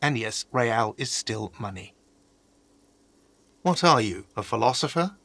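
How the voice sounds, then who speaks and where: An actor playing an exasperated, sarcastic hostel manager in a video game, with an irritated, condescending way of talking. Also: male voice, mid-range voice, lively intonation, measured pace, fairly bright tone, no echo.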